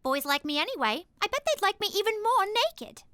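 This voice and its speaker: Spoiled bratty teen daughter, super high squeaky voice, bouncy. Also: female voice, big pitch swings, fairly quick, sharp tone, dry recording, clean studio sound, exaggerated voice. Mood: whiny. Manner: whiny and melodramatic. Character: spoiled, whiny teenage daughter.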